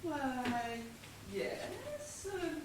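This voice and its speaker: A woman acting an affected, evasive wife suspected of murder in a stage comedy. Her voice is fairly high and airy, carried from far off in a very echoey hall. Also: very animated, extremely slow, exaggerated voice. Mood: flustered. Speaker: stage actor. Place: theatre stage, recorded from a distance. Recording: roomy distant stage recording, noisy.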